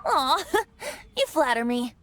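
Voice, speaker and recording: Playful boyish bard, high-pitched, swooping theatrical delivery, breezy game character, music behind. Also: female voice, big pitch swings, slow pace, sharp tone, slight background noise, full character voice. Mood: modest, playful. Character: playful, breezy young male bard who is secretly a god. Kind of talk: scripted video game voice acting.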